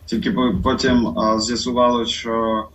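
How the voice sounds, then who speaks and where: A man talking reflectively in conversation, slow and halting with many pauses and fillers. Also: medium pitch, level tone, a bit bright, far from the mic, poor audio.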